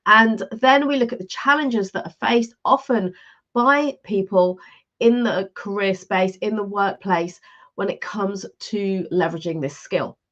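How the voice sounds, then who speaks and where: A woman's voice: mid-range voice, a bit bright, muffled recording. A woman coaching on a live stream, speaking straight to her viewers in an upbeat, structured way, a little stuffy from a mild cold. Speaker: leadership and career coach, live show host.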